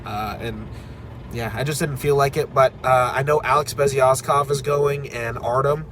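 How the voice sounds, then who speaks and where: A man's voice: medium pitch, fairly even intonation, normal speed, background noise. A young man with a stuffy cold, rambling casually through viewer comments on a live stream. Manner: rambling, filler-heavy, candid. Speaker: arm wrestler streaming a live recap.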